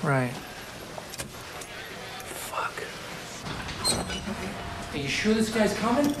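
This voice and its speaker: Male actor as an edgy, griping cop; somewhat high, roomy echo. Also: very animated, extremely slow, distant mic, heavily acted. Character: jumpy male cop contact, griping and nervous. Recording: echoey and noisy.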